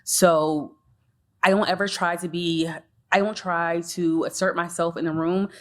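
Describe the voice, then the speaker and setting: Low, calm woman panelist. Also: a little slow. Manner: calm, steady, many ums. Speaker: panelist, agency leader. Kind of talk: panel discussion.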